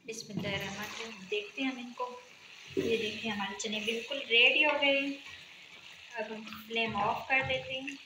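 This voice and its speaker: A woman hosting a home cooking channel, calmly walking through each step of a recipe and often pausing to check in with viewers. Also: fairly high pitch. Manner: calm, instructive, often ends steps with a check-in. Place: home kitchen, indoors. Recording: echoey room with sizzling noise. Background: frying and sizzling from the pan.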